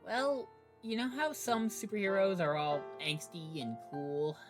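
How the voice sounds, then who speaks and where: A woman's voice: mid-range voice, measured pace, soft background music, natural voice. A woman playing an earnest, plucky young superhero farm kid in an audio drama. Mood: wry.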